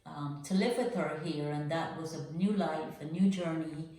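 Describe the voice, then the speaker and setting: An older woman from Guyana telling her life story as a podcast guest, earnest and reflective, with frequent pauses. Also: low-pitched, dark tone, very echoey, recorded from a distance, poor audio.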